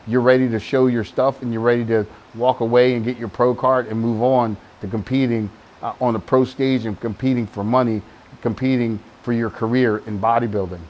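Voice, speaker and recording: A man's voice: medium pitch, little pitch movement, fairly quick, muffled recording, a little hiss or hum. A man, a veteran bodybuilder, giving blunt advice in a documentary interview. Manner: blunt, advising. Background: music.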